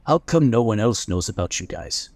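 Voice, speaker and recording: A man's voice: lowish voice, big pitch swings, moderate pace, a little mellow, no echo. An actor playing a wary father who speaks in a guarded way. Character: wary deep-voiced father of a gifted boy. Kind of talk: audio drama acting.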